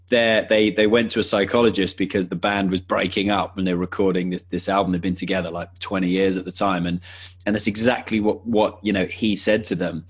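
Low husky man, enthusiastic guest. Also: muffled recording. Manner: enthusiastic and conversational, full of restarts. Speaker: podcaster and interview guest. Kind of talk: casual interview conversation.

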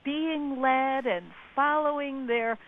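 Woman speaking slowly over a phone line. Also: sits high, fairly even intonation. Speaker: guest expert and author. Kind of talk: casual radio chat. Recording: tinny phone line with faint hiss.

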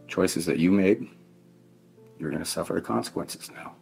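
A middle-aged man with a very deep voice speaks solemnly and haltingly, heavy with grief. His tone is gravelly and he repeats himself as he addresses someone directly in a formal statement. Faint guitar music sits underneath. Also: a little mellow. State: emotional, grieving. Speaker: victim's father giving impact statement. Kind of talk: spoken victim impact statement in court. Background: faint plucked guitar music.

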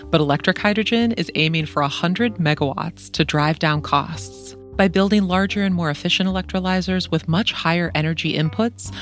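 A podcast host reading scripted narration with a clear, measured delivery, then asking interview questions. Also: medium pitch, fairly bright tone.

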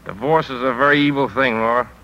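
A bitter, world-weary newspaper editor in a fifties radio play. His voice is very bright and hard-edged, with a punchy, sardonic bite and a middling pitch. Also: quick pace, highs cut off. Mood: drunk, agitated. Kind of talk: 1950s radio drama acting.

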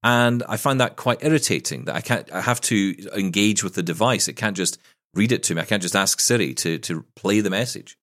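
A man's voice: fairly low pitch, a little fast, fairly bright tone. A man hosting a podcast interview, inquisitive and thinking aloud as he asks questions. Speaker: podcast host. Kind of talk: conversational interview.

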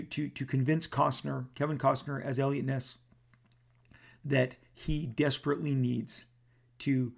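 A man talking casually about comics with a gravelly, throat-clearing voice, rambling with lots of restarts and fillers. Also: mid-range voice. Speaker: comic book artist. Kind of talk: casual talk to camera.

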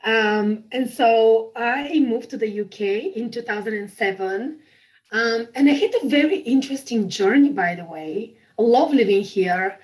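A woman's voice: a little high, a little slow, poor audio. A woman in her thirties with a Bulgarian accent, a podcast guest chatting casually, bubbly and laughing often at herself. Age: 30s. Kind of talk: casual interview chat.

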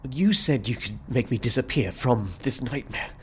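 A man's voice: medium pitch, expressive, moderate pace, slight background noise, close to an everyday voice. An actor playing an anxious, bewildered man trapped in a dream in an audio comedy drama, hesitant and pleading. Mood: desperate pleading.

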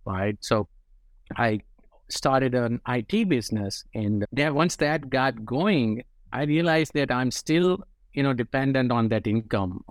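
A man's voice: mid-range voice, no echo. A man from India with an Indian accent, a guest investor explaining his path in a conversational interview, often tagging sentences with "right?". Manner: explanatory, frequent "right?" tags.

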